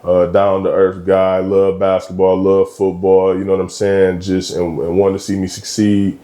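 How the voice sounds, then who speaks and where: A man in his thirties from Michigan, a basketball coach talking as a guest in a casual interview, relaxed and slangy with frequent ums. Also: deep voice, moderate pace, echoey room. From Michigan, USA. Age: 30s. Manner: laid-back, slangy, lots of ums.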